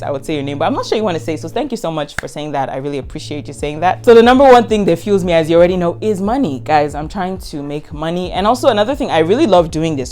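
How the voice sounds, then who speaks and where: Fast-talking Nigerian woman in her twenties. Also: on the low side, warm tone. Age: 20s. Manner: frank and emphatic, repeats words for emphasis. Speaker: content creator. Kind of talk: casual Q&A vlog.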